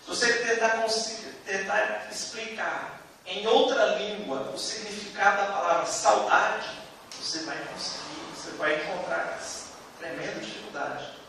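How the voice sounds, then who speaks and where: High, bright-voiced Brazilian man in echoey room. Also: unhurried, creaky. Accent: Brazilian Portuguese. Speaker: theology teacher. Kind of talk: classroom lecture, explaining with examples. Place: classroom, indoors. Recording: echoey room, distant mic, faint noise.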